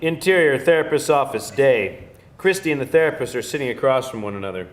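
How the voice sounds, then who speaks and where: A man's voice: mid-range voice, lively intonation, a little fast. A man narrating screenplay stage directions in a scripted reading style at a live table read.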